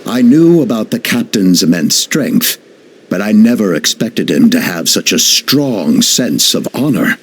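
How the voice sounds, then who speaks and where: An actor playing a stern, dignified, honourable warrior with a formal manner in a video game. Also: male voice, low voice, slight background noise, heavily acted.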